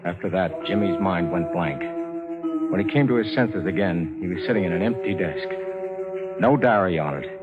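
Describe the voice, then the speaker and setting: A man narrating a 1950s radio drama in a suspenseful, deliberate, dramatic style. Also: low-pitched, expressive. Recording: dull vintage radio recording, loud music behind.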